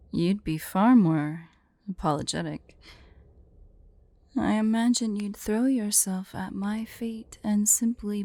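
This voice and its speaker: Casting: woman to play a female dragon, vain and playfully imperious, unhurried, low and gravelly with creaky fry, for intimate ASMR fantasy roleplay. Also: warm tone, no room sound. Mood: teasing, haughty. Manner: slow, imperious, playful, breathy near-whispers at times. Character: haughty, teasing female dragon, vain and secretly fond.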